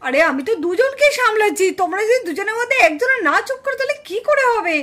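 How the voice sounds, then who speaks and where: Woman playing fretful, high-voiced mother-in-law. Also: sing-song, quick pace, fairly bright tone, heavily acted. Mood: fretful pleading. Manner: fretful, pleading, lamenting. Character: exasperated older village mother-in-law, pleading for peace. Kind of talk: puppet cartoon family drama acting.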